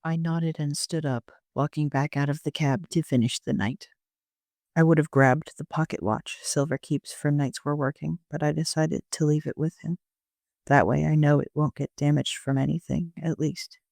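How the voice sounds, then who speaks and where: Male amateur narrator: slightly high voice, flat and understated, gravelly fry, first-person story reading. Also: moderate pace, no room sound, studio quality.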